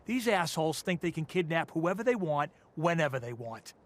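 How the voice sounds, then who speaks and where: A defiant militia fighter in a video game. His voice sits fairly high for a man, and he speaks bluntly and colloquially, combative and suspicious. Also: very animated, normal speed. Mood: angry, urgent.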